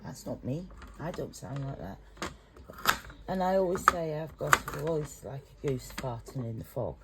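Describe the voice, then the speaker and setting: A woman's voice: deep voice, expressive, normal speed. A middle-aged English woman, a home cook, talking in a rambling, chatty, self-conscious way in her kitchen. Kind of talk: casual cooking vlog. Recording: noisy home recording.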